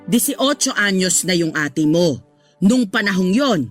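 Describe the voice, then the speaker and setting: A woman's voice: fairly low pitch, expressive, measured pace, faint music behind. A woman playing a strict, scolding Filipino mother in a radio drama, with a sarcastic, interrogating manner. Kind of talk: Tagalog radio drama acting.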